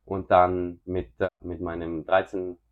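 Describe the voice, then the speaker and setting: A young man from South Tyrol speaks in a very low voice as a podcast guest. He answers haltingly, with restarts and fillers. The sound is muffled and lo-fi. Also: completely flat delivery. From South Tyrol, Italy. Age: young adult. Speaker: guest, racing driver. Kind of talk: casual interview answers.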